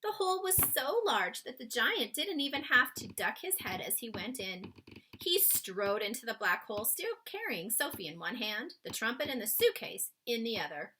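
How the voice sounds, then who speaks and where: A woman reading a children's story aloud expressively, with dramatic pauses, speaking to young listeners. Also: fairly high pitch, sing-song, bright, forceful tone, a little hiss or hum.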